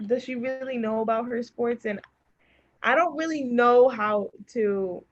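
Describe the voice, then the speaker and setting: A woman's voice: fairly high pitch, unhurried. Encouraging woman on slightly dull audio. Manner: encouraging, earnest. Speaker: podcast guest. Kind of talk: casual chat. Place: remote group call.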